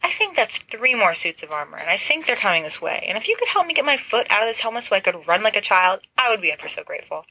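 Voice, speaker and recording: A woman's voice: mid-range voice, sing-song, quick pace, few pauses, sharp tone, slightly rough, no room sound, pitched above the performer's own voice, exaggerated voice, low-quality recording. A woman voicing an indignant teenage boy wizard who grumbles and complains dramatically in an audiobook story. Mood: sarcastic urgency.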